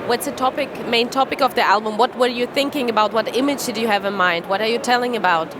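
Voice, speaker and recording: A woman's voice: a little high, fast talker. Friendly woman interviewer, noisy street. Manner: friendly, encouraging, stacks questions. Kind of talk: casual interview. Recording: loud street noise behind.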